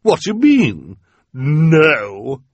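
A male narrator voicing a vain, glamorous villainous woman with shrill outbursts. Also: big pitch swings, takes it slowly, husky, dry recording, muffled recording, exaggerated voice. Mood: astonished.

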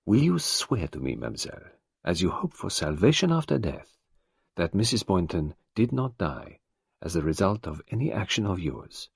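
A man reading an audiobook, voicing a calm older detective who speaks quietly and courteously. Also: low voice, moderate pace, gravelly, breathy edge, no room sound, clean studio sound, heavily acted. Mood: probing. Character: calm older male detective, gentle, probing.

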